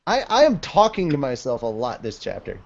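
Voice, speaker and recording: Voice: medium pitch, wildly swooping pitch, fairly quick, gravelly, lo-fi sound. A podcast host bantering casually and comically during an amateur manga dub, with a playful, theatrical way of talking. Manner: playful, theatrical, often breaks into silly voices.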